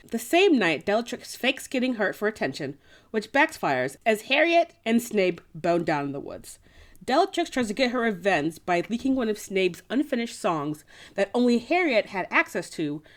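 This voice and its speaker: A woman co-hosting a podcast in casual, playful, slangy chat, as if recapping a book for friends. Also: medium pitch. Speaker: podcast co-host.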